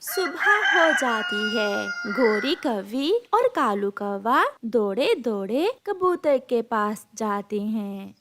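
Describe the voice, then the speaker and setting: A woman narrates a children's moral story in a very high voice. Her scripted reading is slow and measured. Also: very animated, fairly bright tone, a little hiss or hum.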